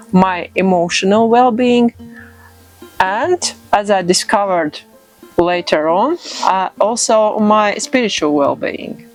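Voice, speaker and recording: A middle-aged woman with a Latvian accent, a yoga expert answering interview questions in a thoughtful way, pausing often with fillers. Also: on the low side, poor audio. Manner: thoughtful, with frequent pauses and "uh" fillers.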